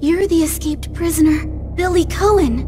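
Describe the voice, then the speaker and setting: An actress playing an earnest young rookie special-forces officer, urgent and calling out. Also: female voice, very high-pitched, normal speed, music in the background. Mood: tense, alert.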